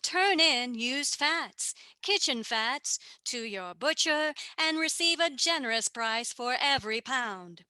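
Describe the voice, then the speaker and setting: A woman playing a 1940s radio announcer, deliberate and presentational. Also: high voice, lively intonation, pushed and bright, dry recording, heavily acted. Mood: earnest. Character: male 1940s radio announcer reading public appeals.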